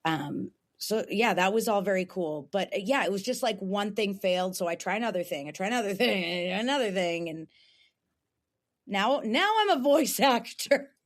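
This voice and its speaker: A young woman with a raspy voice chatting casually as a podcast guest, laughing often and restarting her sentences. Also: mid-range voice, lively intonation, moderate pace. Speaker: guest voice actor.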